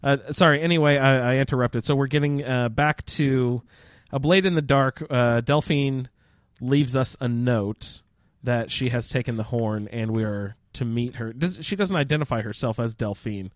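A man's voice: medium pitch, normal speed, few pauses, no echo, studio quality. A man hosting a casual roundtable chat about a video game, steering the group with questions. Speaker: podcast host moderating a roundtable.

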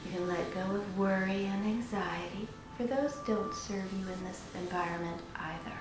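A woman leading a guided meditation in a soothing, unhurried manner, pausing between phrases. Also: mid-range voice. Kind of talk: guided meditation, slow instruction. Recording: very echoey room with music. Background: meditation music.

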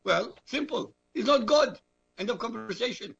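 A man from Iraq whose first language is Arabic, arguing forcefully as a guest and repeating phrases for emphasis. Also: very high voice, animated, moderate pace, vocal fry, husky, lo-fi sound. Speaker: guest commentator. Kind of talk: emphatic talk-radio argument.

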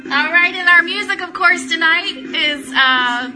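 A woman's voice: very high voice, unhurried, low-quality recording. A woman hosting a casual live-streamed talk show, chatting enthusiastically, greeting viewers and reading aloud. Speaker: host of an Elvis talk show. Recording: music playing in the background. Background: Christmas CD music.

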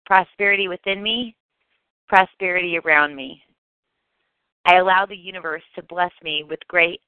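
Smooth woman reciting meditation affirmations. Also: on the low side, dry recording. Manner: measured, declarative delivery. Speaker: guided meditation speaker. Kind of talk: spoken affirmations for guided meditation. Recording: dull, close, dry recording.

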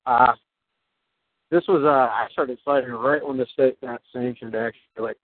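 A man's voice: mid-range voice, steady tone, moderate pace. A man in his twenties from Wisconsin answering interview questions in an easygoing, plain-spoken way with fillers. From Wisconsin, USA. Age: 20s. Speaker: guest fighter. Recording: tinny phone line.

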